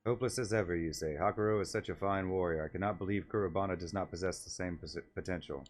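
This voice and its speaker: Man reading as a low-pitched old wolf-man elder. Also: fast. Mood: concerned. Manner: courteous, old-fashioned formal wording. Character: concerned old male wolf-like village elder, formal and meddling.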